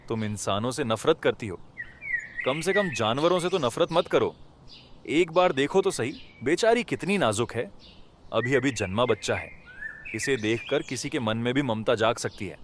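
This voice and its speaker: A man's voice: mid-range voice, animated, moderate pace, background noise, natural voice. A man playing a tender-hearted poor villager in a cartoon moral story, with exclamatory, rustic colloquial speech. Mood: pleading, indignant. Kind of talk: animated moral story acting.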